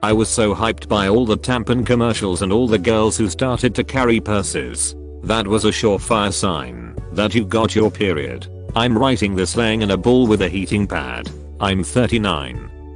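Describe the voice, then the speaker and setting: A man with a low voice reads out a string of short reader stories. His delivery is dry, but his pitch moves around a lot. Faint music plays underneath. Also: lively intonation, a little slow. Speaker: narrator reading online posts. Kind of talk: scripted narration of reader stories.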